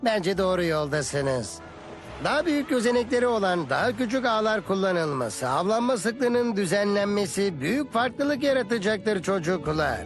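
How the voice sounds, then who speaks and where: High-pitched wise mentor. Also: male voice, very animated, moderate pace, faint music behind. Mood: approving, advising. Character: wise, kindly male mentor teaching children. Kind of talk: Turkish dubbed educational cartoon.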